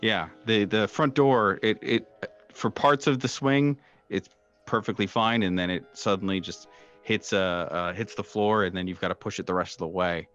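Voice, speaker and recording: A very low-voiced man runs a horror tabletop game as game master. He describes scenes in a halting, searching way, feeling his way through each phrase. Faint music plays behind him. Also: dull audio. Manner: halting, hesitant descriptive delivery. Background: soft background music.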